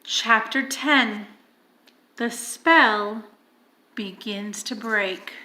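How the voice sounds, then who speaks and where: A woman reading a children's story aloud as expressive narration. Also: on the high side, extremely slow. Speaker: audiobook reader.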